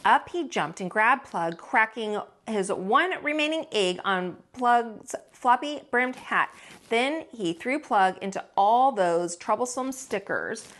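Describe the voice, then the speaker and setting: A woman with a fairly high voice reads a children's story aloud. Her pitch swings widely as she leans into folksy phrasing. She gives dramatic weight to key words, like a teacher at storytime. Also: slow pace. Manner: folksy, expressive, dramatic emphasis on repeats. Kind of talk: animated read-aloud storytelling.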